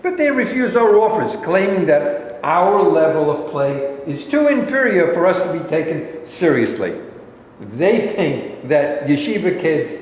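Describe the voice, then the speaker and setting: A man plays a proud, protective school coach in a stage play. His emphatic voice is fairly high and swings widely in pitch, ringing in a very echoey hall. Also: very animated, unhurried. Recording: very echoey hall, faint noise.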